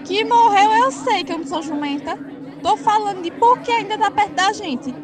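Woman playing a high-voiced, scolding small-town wife. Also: normal speed, a crowd in the background. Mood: irritated, bossy. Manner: sharp-tongued, scolding. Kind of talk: radio soap opera acting.

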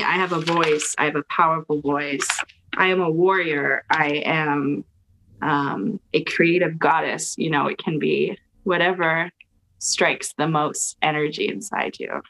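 A woman with a lowish, gravelly voice talks someone through a mantra exercise. Her guidance is soft, slow and soothing, heard over a video call. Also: fairly even intonation, background noise. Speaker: guest leading a mantra exercise.

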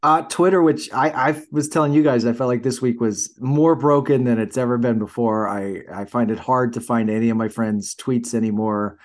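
A man's voice: mid-range voice, normal speed, hardly any pauses. A man co-hosting a podcast in casual chat, talking in a hesitant, rambling way. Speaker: podcast co-host.

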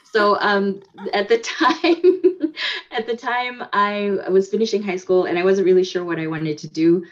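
A woman's voice: mid-range voice, a little slow. A woman from the United States, a guest in a casual interview, talking reflectively with many fillers and restarts. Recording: dull lo-fi audio.

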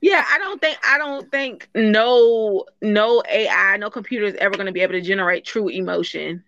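A woman's voice: medium pitch, moderate pace, a bit bright, highs cut off. A woman joining a podcast as guest co-host, chatting casually, laughing and restarting her sentences. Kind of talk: casual chat.

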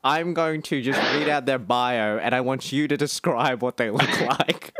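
A man riffs through a casual podcast segment, teasing his co-host and laughing easily. His voice sits at a middle pitch. The sound is dry and close, with no room around it. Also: fairly bright tone, no room sound. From Australia. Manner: joking, laughs often, reads out dating bios slowly. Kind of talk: casual banter.

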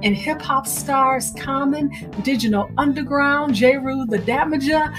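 A woman radio host reading a scripted introduction of a featured storyteller in a deliberate, emphatic way. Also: high-pitched. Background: music, plucked guitar.

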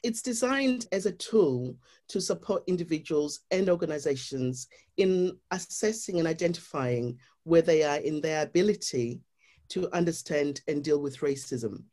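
A guest with a Zimbabwean accent speaks in an earnest, explanatory way, laying out ideas one stage at a time. The pitch sits in a middle range, and the talk is steady and sincere. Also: normal speed. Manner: earnest, explanatory, with frequent "you know". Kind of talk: reflective interview talk.

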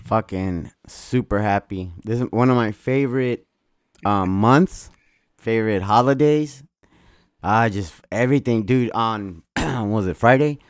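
Male co-host, profane and excitable, low voice, dry close mic. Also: measured pace, clean tone, dry recording. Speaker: podcast co-host. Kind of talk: casual chat.

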